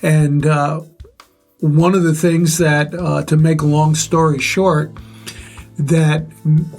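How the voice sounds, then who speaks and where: An older man with a relatively loud voice, reflectively sharing life stories and advice in measured, pause-filled speech. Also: fairly high pitch, flat delivery, faint music behind. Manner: measured, pausing often with "uh". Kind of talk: reflective conversational storytelling.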